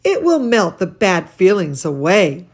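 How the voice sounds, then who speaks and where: Woman voicing a gentle mother, storybook, patient, soothing, slow delivery. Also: lowish voice, big pitch swings, pitched below the performer's own voice, exaggerated voice. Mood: reassuring. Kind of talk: children's storybook read-aloud.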